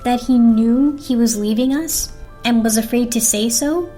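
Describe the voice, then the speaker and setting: A woman's voice: on the high side, measured pace, dark tone, close to an everyday voice. A woman voicing an earnest, anxious young toaster in a dramatic storybook reading. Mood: worried. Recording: music behind the voice.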